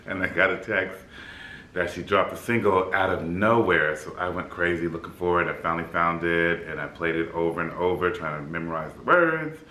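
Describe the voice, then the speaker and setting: A man in his fifties with a deep baritone voice, a bit scratchy in the throat, chatting upbeat to the camera in his apartment as a vlog host. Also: bright, forceful tone, roomy sound. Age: 50s. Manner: upbeat, chatty, laughs easily. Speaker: flight attendant vlogger. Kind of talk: casual vlog chat. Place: apartment room by a city window.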